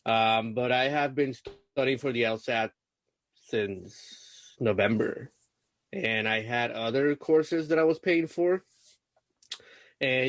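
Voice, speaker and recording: A man's voice: medium pitch, no room sound. A young man from Puerto Rico whose first language is Spanish, talking hesitantly with many fillers on a video coaching call. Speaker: LSAT student being coached.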